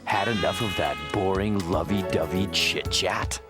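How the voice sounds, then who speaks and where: A man's voice: low voice, unhurried. A man doing a parody commercial voice-over with exaggerated sales patter. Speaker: commercial announcer. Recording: music behind the voice.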